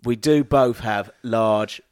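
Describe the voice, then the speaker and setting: A man's voice: mid-range voice, slow pace, darkish tone, clean tone. A middle-aged man co-hosting a bawdy podcast, dry, mock-prim and incredulous. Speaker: podcast co-host. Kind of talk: bawdy casual chat.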